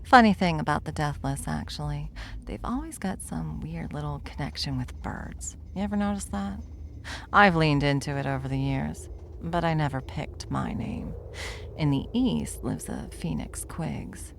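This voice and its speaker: A woman playing a bored, smug, powerful villain in an ASMR roleplay, with a wry, taunting drawl and a creaky voice. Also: sits low, expressive, a little slow, slight background noise, full character voice. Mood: wry, conversational.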